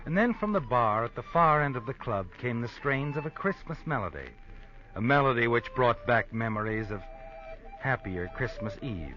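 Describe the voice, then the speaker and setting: An actor playing a sardonic, all-knowing American narrator of an old radio suspense drama, insinuating and dramatic. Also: male voice, mid-range voice, expressive, normal speed, sharp tone. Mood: sardonic, ominous. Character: sardonic, omniscient male storyteller of suspense tales. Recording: muffled old radio with music. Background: music.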